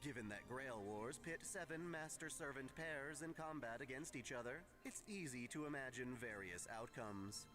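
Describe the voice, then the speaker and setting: A man narrating a scripted lore lesson in a measured, formal lecturing manner. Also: medium pitch, animated. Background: music.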